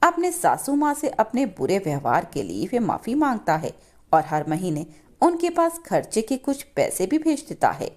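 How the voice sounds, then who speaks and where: A woman's voice: medium pitch, wildly swooping pitch, normal speed, a little mellow. A woman narrating a scripted moral story as a storyteller.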